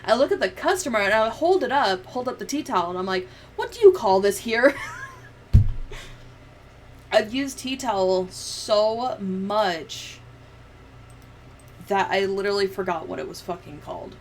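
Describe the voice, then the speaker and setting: A woman's voice: medium pitch, animated, moderate pace, pauses often, a little hiss or hum. A woman livestreamer chatting and telling stories in a casual, sweary, giggly way. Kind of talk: casual livestream chat, storytelling.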